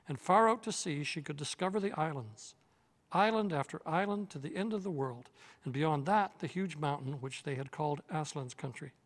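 A man in his sixties reading a children's novel aloud in a measured, steady storytelling manner, seated in an armchair on a studio set. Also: fairly high pitch, in a large hall. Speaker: reader.

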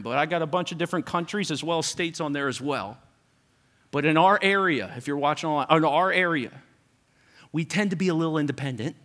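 A middle-aged pastor preaching a sermon, conversational and building to repeated, emphatic lines. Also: male voice, a little high, pushed and bright. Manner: preaching with rising intensity and repetition, conversational asides.

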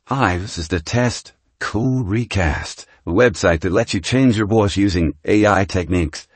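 Lowish man's voice through an AI changer. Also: measured pace, no echo. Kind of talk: scripted demo read.

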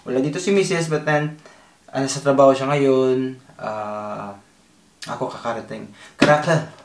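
A man in his thirties with a Filipino accent, mixing Tagalog and English in an excitable home unboxing vlog, recorded in a small kitchen on the camera mic. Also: medium pitch, moderate pace, roomy sound. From Philippines. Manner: mixes Tagalog and English, excitable, self-deprecating. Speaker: vlogger.

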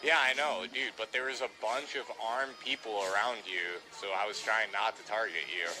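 A young man playing a roleplay shooter game, giving quick orders and calling everyone dude. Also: fairly low pitch, steady tone, rough recording. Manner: bossy, gives quick orders, says dude a lot. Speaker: gamer streaming roleplay. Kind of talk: casual game chat. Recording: music playing behind.

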